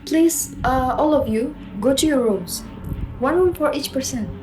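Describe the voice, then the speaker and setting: A woman's voice: high-pitched, animated, a little slow, over music. A woman playing a young Filipino dormitory assistant in a radio drama, polite, hesitant and giving instructions. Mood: instructing.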